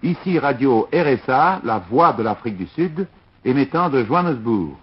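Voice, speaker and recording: Husky man announcing on muffled shortwave. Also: mid-range voice, expressive, normal speed. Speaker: station announcer. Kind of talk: formal radio station identification announcement. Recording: muffled shortwave radio recording.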